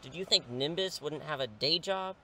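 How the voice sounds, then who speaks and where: A man's voice: on the high side, normal speed, bright, forceful tone, a little hiss or hum. A man playing a wordy superhero in his thirties who is secretly an English teacher, theatrical but easily flustered, in a comedic audio drama. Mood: exasperated. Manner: glib, wordy, awkward when cornered.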